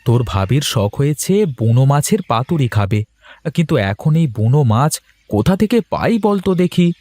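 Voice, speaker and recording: A man's voice: medium pitch, sing-song, normal speed, darkish tone, no room sound. A man voicing a bossy, grumbling but doting village husband in a cartoon. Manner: bossy, emphatic. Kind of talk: cartoon voice acting.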